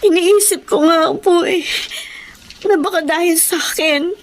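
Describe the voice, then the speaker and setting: A woman's voice: extremely high pitch, measured pace. An actress playing an apologetic, self-blaming young wife and mother with a Filipino accent, respectful and earnest in a TV drama. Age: young adult. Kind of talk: naturalistic TV drama acting. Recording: faint background noise, location ambience.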